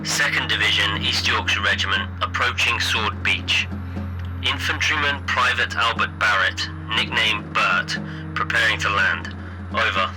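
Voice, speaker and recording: An actor playing a brisk wartime military radio reporter in an audio play, giving formal, clipped reports. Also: male voice, lowish voice, music in the background, lightly acted, low-quality recording. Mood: brisk, official.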